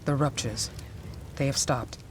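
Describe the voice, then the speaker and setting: A woman voicing a brooding tyrant devoted to a dark god in a video game cutscene, speaking with grave fervour. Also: extremely low pitch, steady tone, a little slow, background noise. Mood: grave devotion. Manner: grave, fervent.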